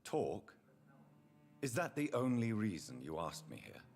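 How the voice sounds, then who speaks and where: An actor playing a wary doctor newly turned vampire in a video game, terse and inquisitive. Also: male voice, on the low side, big pitch swings, unhurried, a little mellow. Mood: guarded, questioning. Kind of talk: scripted video game dialogue.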